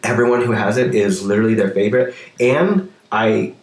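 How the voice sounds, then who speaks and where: A man's voice: on the low side, brisk, reverberant. A man co-hosting a podcast in casual chat, nasal and sore-throated from a cold. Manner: chatty, restarts sentences. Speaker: podcast co-host.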